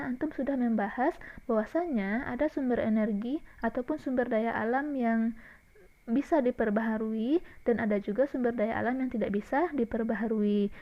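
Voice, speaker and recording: A woman teacher with an Indonesian accent gives a recorded lesson and speaks gently and directly to her young pupils. Her voice sits a little high and comes through a dull, lo-fi recording. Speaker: primary school teacher.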